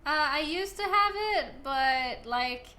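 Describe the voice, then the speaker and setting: A woman's voice: high-pitched, expressive, slow pace, sharp tone. A young Norwegian woman with a Norwegian accent streaming a video game, chatting casually and answering chat, a little self-deprecating. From Norway.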